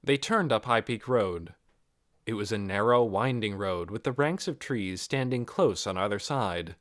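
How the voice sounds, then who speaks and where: An audiobook narrator reading a novel in a dramatic, expressive storytelling manner. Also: medium pitch, measured pace, dry recording, studio quality.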